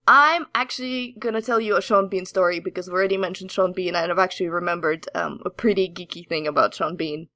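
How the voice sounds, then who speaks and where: A woman co-hosting a casual geeky podcast, telling stories in an excitable, giggly, gushing way. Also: lowish voice, quick pace, few pauses, no echo. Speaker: podcast co-host. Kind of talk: casual geeky chat, animated storytelling.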